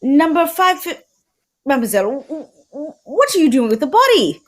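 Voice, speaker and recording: A formal, theatrical Belgian detective played by a woman in an old-time radio mystery. The French accent is courteous and fussy, and the high voice moves through lively rises and falls. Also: moderate pace. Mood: brisk, formal.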